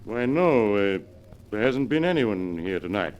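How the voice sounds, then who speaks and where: An actor playing a courteous scientist in a 1940s radio drama, speaking formally in measured, well-chosen words. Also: male voice, on the low side, big pitch swings, a little mellow, highs cut off, slight background noise. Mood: composed. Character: calm, polite scientist professor with a secret. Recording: vintage 1940s radio recording.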